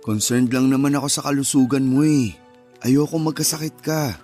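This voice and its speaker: A man's voice: mid-range voice, steady tone, moderate pace, darkish tone, lightly acted. A man with a Filipino accent acting as a caring, patient young husband in a radio-style romance drama. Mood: gentle, pleading. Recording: music under the voice.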